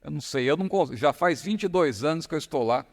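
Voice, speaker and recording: Middle-aged male pastor, São Paulo accent, high voice, emphatic sermon delivery in a reverberant hall. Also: animated. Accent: São Paulo Portuguese. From São Paulo, Brazil. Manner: slow emphatic reading with rising stress. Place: large church hall.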